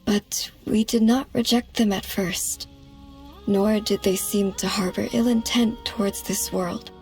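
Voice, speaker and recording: A woman playing a dignified ancient moon goddess in a game cutscene, speaking in a measured, formal way. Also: mid-range voice, fairly even intonation, a little mellow, natural voice. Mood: solemn. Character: solemn, composed ancient moon goddess, elder sister. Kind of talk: dramatic game cutscene acting. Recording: background music under the voice.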